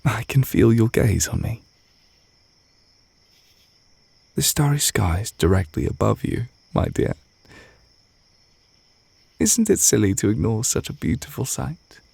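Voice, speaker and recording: A man acting a gentle, adoring witch boyfriend in an intimate ASMR roleplay, soft-spoken and tender with a light teasing manner. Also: on the low side, very animated, moderate pace, vocal fry, husky, no room sound, full character voice. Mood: wistful, adoring.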